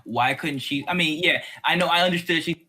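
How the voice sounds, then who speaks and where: A man in a casual, opinionated chat as host, talking in an animated, exasperated way and slipping into mocking quotes. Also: a little high, a little fast, slightly rough. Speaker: host of a fan discussion series.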